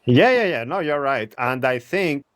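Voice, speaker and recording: A man hosting a casual conversation, talking in an animated way, acting out imagined remarks and laughing easily. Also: medium pitch, normal speed, dry recording. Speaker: podcast host.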